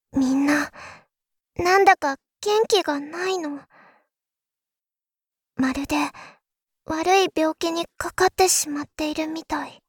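A woman playing a naive, eager young princess, speaking in a girlish, expressive, confiding way in a Japanese voice drama monologue. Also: extremely high pitch, dry recording, clean studio sound. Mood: puzzled, concerned.

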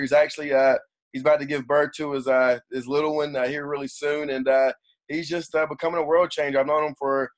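A man hosting a podcast, introducing his guest in a loose, rambling way full of "uh" and "you know". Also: medium pitch. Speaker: podcast host. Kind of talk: casual podcast interview.

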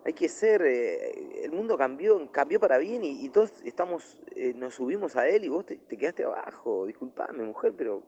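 A man's voice: fairly high pitch, big pitch swings, moderate pace, few pauses, a little mellow, dull audio, a little hiss or hum. A man playing a snobbish, preachy vegetarian in a comic radio play, affected and condescending, with an Argentine accent. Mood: condescending, indignant. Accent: Argentine, Rioplatense.

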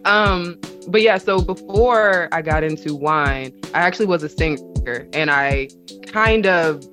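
A woman with a low, slightly raspy voice talks as a guest on a casual podcast. She is playful and quick to laugh. Music plays clearly behind her. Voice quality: deep, raspy voice. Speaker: guest, wine consultant.

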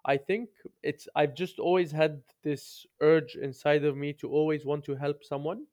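Smooth man, slightly muffled recording. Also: fairly high pitch, level tone, moderate pace, darkish tone. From London, UK. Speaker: podcast guest. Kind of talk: conversational storytelling.

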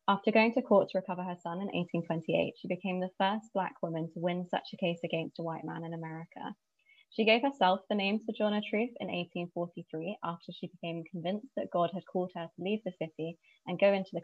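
A woman's voice: on the low side, no echo, highs cut off. Earnest woman reading a prepared tribute. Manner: measured, earnest, reading from notes. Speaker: speaker presenting an inspiring woman.

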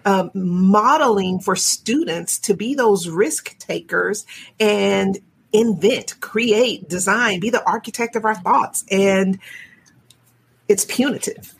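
A woman educator in a podcast panel discussion, phrasing her thoughts deliberately and reflectively. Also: medium pitch, vocal fry, slight background noise.

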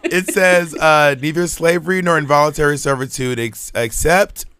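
A man's voice: a little high, fairly even intonation, moderate pace, very noisy. A man, chatting casually on a podcast and telling loose, rambling stories with slang. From Georgia, US. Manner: loose, rambling storytelling with frequent restarts and slang. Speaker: podcast co-host.

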